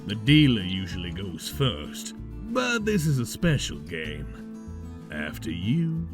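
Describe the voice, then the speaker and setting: Casting: a sinister cowboy scarecrow, low and unhurried, folksy American Southern drawl, theatrical taunts for audio drama. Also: male voice, wildly swooping pitch, over music, full character voice. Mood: amused, taunting. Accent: American Southern or Western drawl. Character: menacing male scarecrow, sly Wild West villain card dealer. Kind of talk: audio drama character acting.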